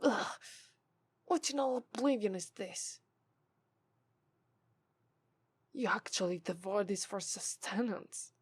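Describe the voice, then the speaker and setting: A woman with an Italian accent voicing a scornful demon femme fatale in an ASMR roleplay, condescending and drawing out her disdain. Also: medium pitch. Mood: disgusted. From Italy. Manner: condescending, drawn-out disdain, breathy asides.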